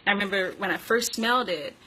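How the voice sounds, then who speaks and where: A middle-aged woman telling casual, giggly anecdotes as a vlog host, acting out quotes in an animated way. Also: mid-range voice, fast, low-quality recording. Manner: giggly, animated when quoting people. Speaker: vlog host and memoir author.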